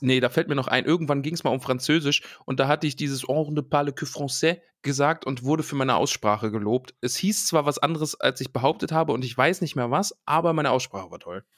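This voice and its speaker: A man's voice: mid-range voice, normal speed, fairly bright tone, no room sound, clean studio sound. A man co-hosting a podcast in casual chat, playful and jokey, reading a list of names and wandering off topic. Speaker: podcast co-host.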